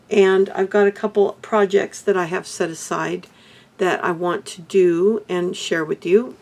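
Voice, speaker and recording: An older woman from Texas, hoarse, chatting casually to camera in a rambling way about her crafts and life. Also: on the low side. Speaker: beadwork vlogger.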